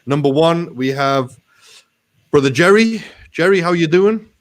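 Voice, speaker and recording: A man's voice: fairly high pitch, lively intonation, unhurried. A man hosting a casual interview show, speaking in an informal, matey way with Arabic phrases sprinkled in. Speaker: talk show host.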